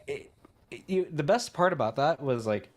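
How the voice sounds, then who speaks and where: A man's voice: a little high, animated, moderate pace, darkish tone. A man co-hosting a podcast, telling stories in a rambling, chatty way, often laughing. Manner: rambling storyteller, laughs often, stumbles and restarts. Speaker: podcast co-host. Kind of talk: casual chat.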